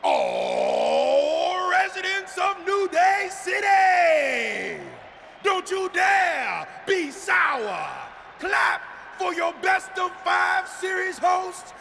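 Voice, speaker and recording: A man's voice: wildly swooping pitch, creaky, pushed and bright, shouting, some chatter behind, a little hiss or hum, heavily acted. A man playing an exuberant, hammy wrestling host who draws out theatrical proclamations in a video game. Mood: bombastic hype. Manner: drawn-out, booming proclamations. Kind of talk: showman ring hype and comic cutscene dialogue.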